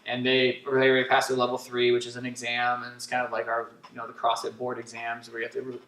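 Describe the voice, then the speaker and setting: Fast-talking man in an echoey room. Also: medium pitch, monotone, fairly bright tone. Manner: fast, upbeat, jokey talker. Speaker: fitness gym co-owner, podcast guest. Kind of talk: casual podcast chat. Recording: echoey room, distant mic.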